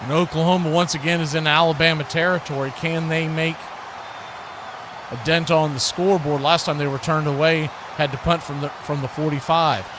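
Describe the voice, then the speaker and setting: Higher-voiced man calling football, noisy background. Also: normal speed. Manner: folksy, excitable on big plays. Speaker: radio play-by-play announcer. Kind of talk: live football play-by-play commentary with asides to viewers. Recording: clear background noise.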